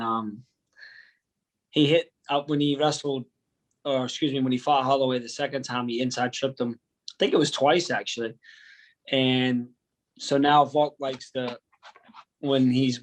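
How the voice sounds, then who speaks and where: A man's voice: medium pitch, rough recording. A man who coaches wrestling, chatting in an easygoing, joking way as an interview guest. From United States. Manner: easygoing, joking, uses slang. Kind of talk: casual interview.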